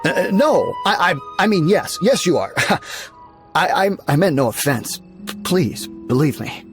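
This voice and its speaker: A man's voice: on the high side, very animated, measured pace. A man voicing an easily cowed, formal household guard in a video game, with music behind him. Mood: panicked, pleading. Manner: stammering, apologetic, formal. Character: nervous servile male guard, easily cowed.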